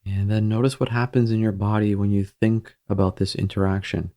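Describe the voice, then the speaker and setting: A man leading a guided meditation in a gentle, soothing, measured manner with pauses between phrases. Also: fairly low pitch, little pitch movement.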